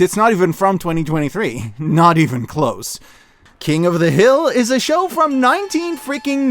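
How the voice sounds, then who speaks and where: A man with a slightly high voice delivers a scripted review, dropping in casual asides. His intonation moves widely up and down, and he comes across as earnest and emphatic. Music plays behind him. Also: fairly bright tone. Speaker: video essayist and media reviewer.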